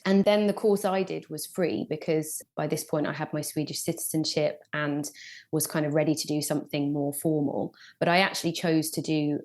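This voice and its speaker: Forties woman, journalist guest; low-pitched, thorough and conversational. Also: a little mellow. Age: 40s. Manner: reflective, thorough, many "kind of" fillers. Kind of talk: conversational interview answers.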